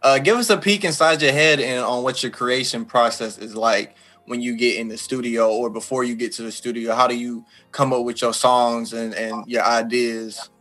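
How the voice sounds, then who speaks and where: A man hosting a podcast interview over a video call, asking questions in a relaxed way with hesitant fillers. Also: mid-range voice, normal speed.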